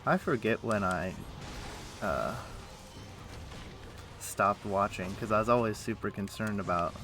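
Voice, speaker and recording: A man's voice: fairly low pitch, unhurried. A man who streams games, chatting casually and thinking aloud in a rambling way as he plays. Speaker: game streamer. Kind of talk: casual livestream gameplay chat. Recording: loud game music behind voice. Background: video game music.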